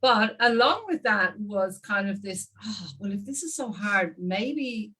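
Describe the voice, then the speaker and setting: A woman's voice: medium pitch, unhurried, husky. Chatty Irish woman in her fifties. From Ireland. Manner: chatty, animated storyteller, laughs often. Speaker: guest marketing strategist. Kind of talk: casual interview talk.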